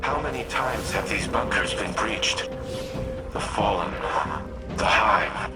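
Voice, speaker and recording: Deep, solemn war machine intelligence. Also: male voice, loud music behind the voice. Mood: solemn remorse. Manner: solemn, measured, deliberate delivery. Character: remorseful military AI war machine, grave and noble. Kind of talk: video game character dialogue.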